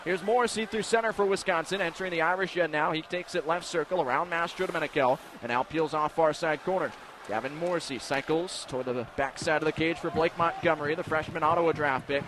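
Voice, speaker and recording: A man doing live radio play-by-play commentary of a hockey game, describing the action in crisp detail. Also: fairly high pitch, quick pace. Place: indoor ice hockey arena. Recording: noisy live arena broadcast. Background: loud arena noise.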